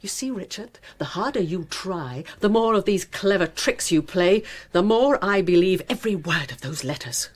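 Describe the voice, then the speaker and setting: Reproachful middle-aged English wife, animated intonation. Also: female voice, fairly low pitch, moderate pace, hardly any pauses. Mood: bitter, accusing. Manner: terse, controlled, accusing. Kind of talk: naturalistic radio drama acting.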